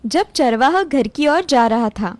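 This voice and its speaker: Woman reading a kids storybook style tale, high voice, light music behind. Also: expressive, measured pace, darkish tone. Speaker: narrator. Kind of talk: scripted storybook narration.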